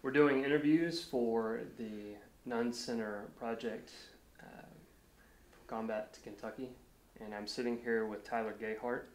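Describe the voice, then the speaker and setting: A man interviewing for an oral history, asking loosely phrased questions in a halting, conversational way. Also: mid-range voice, steady tone. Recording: echoey room with faint noise.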